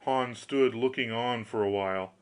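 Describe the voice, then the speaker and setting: A man reading a fairy tale as an audiobook narrator, in a scripted, expressive storytelling style. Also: mid-range voice, moderate pace, clear, resonant tone.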